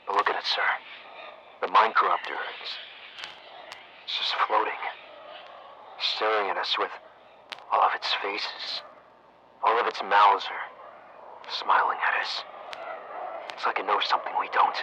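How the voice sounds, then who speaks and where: A man playing a nervous 1960s history professor who talks in a hesitant, stammering, hushed way. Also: on the low side, fairly even intonation, unhurried, long pauses, dull audio, slight background noise. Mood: uneasy. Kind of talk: audio log narration.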